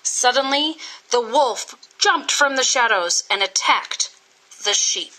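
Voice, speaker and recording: A woman reading a children's story aloud in an expressive, scripted style with dramatic, deliberate phrasing. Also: on the high side, low-quality recording. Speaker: storybook reader.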